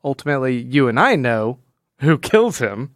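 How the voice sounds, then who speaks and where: A man's voice: mid-range voice, very animated, normal speed, no echo, studio quality. A man co-hosting a podcast, talking casually and analytically, often rephrasing himself as he explains. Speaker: podcast co-host.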